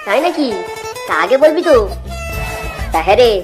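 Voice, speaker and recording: A man's voice: extremely high pitch, lively intonation, moderate pace, music in the background. A man voicing a cheeky, broke young married son in a comic cartoon, whiny and protesting.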